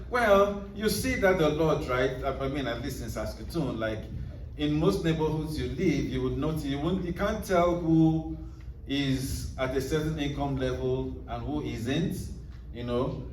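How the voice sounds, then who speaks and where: A man's voice: fairly high pitch, a little mellow, a little hiss or hum. Man, author at a book talk, meandering speech full of fillers, roomy hall, distant mic. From Nigeria. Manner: rambling, many fillers and restarts. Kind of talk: casual talk to an audience.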